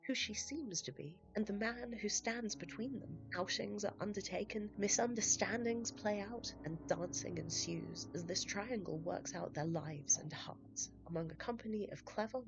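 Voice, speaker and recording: A woman's voice: mid-range voice, moderate pace, vocal fry, few pauses, muffled recording, over music. A woman hosting a podcast, sounding congested and nasal from illness, mixing a read summary with chatty, rambling asides. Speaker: podcast host. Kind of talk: partly scripted podcast monologue.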